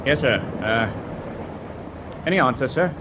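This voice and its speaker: A man's voice: medium pitch, animated, unhurried, noisy background, natural voice. An actor playing a dutiful naval signalman in a wartime radio drama, reporting formally and in clipped phrases to his captain. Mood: dutiful, hesitant.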